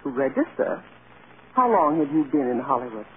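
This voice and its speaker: An actor playing a matter-of-fact, discouraging casting office official in a vintage radio drama. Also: male voice, mid-range voice, very animated, moderate pace, natural voice. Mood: businesslike, discouraging. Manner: businesslike, explanatory. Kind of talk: 1930s-40s American radio acting. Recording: tinny old radio, crowd chatter behind. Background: busy crowd noise.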